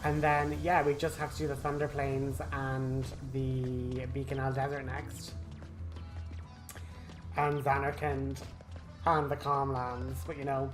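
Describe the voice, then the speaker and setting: A man's voice: medium pitch, flat delivery, measured pace, music in the background. A man hosting a game walkthrough in a casual, chatty style with wry asides. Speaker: gaming walkthrough host.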